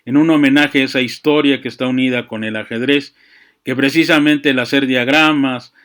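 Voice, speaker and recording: A man giving a reflective, unscripted monologue, often halting to search for words and adding verbal tags. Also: mid-range voice, fairly bright tone. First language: Spanish. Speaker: speaker on chess and literature. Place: small room with books.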